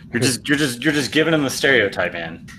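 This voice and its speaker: A man's voice: mid-range voice, bright, forceful tone, slight background noise. An American man co-hosting a podcast, bantering in easy casual chat. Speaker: podcast co-host.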